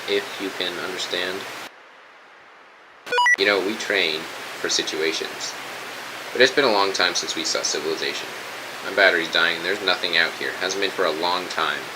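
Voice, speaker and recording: A man's voice: low voice, natural voice. A man playing a weary, cautious soldier in a post-apocalyptic audio drama, speaking in terse military radio talk. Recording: steady hiss, noisy radio-style recording.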